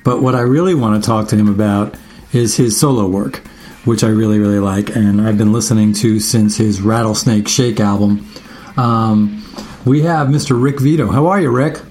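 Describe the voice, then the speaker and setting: A man from the US East Coast hosting a guitar radio show, asking questions in a relaxed, chatty way with fillers and restarts. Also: lowish voice, moderate pace, a little hiss or hum. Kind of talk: casual radio interview.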